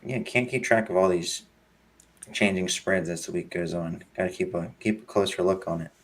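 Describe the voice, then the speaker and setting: A hoarse man co-hosting a casual sports betting chat, easygoing, with a few slips and fillers. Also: sits low, moderate pace. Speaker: podcast co-host.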